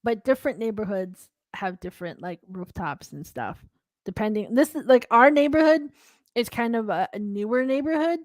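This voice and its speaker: A woman's voice: fairly high pitch, animated, moderate pace, a little mellow, no room sound. Young woman from the philippines rambling on a podcast, lots of likes and ums, dry close sound. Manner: rambling, filler-heavy, trails off mid-thought. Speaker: podcast co-host. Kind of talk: casual chat.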